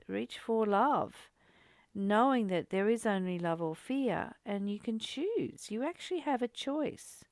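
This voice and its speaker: A woman's voice: mid-range voice, vocal fry, dry recording, studio quality. A woman hosting a reflective spiritual study discussion, speaking in an encouraging, reassuring way. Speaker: study group host.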